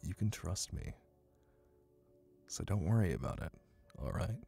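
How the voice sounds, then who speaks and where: An exceptionally deep, crackling voice from a male actor plays a smug, dominant hypnotist boyfriend in an ASMR roleplay. He coaxes and teases intimately, unhurried and breathy, yet soothing. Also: expressive, mellow, faint music behind, full character voice. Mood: reassuring, possessive. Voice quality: gravelly, breathy. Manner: slow, coaxing, teasing.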